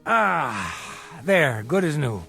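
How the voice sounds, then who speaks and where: An actor playing a boozy middle-aged small-time bookie in an audio drama, a glib, self-deprecating charmer and doting father given to theatrical flattery. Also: a little high, takes it slowly, vocal fry, soft background music, heavily acted. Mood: breezy, flattering.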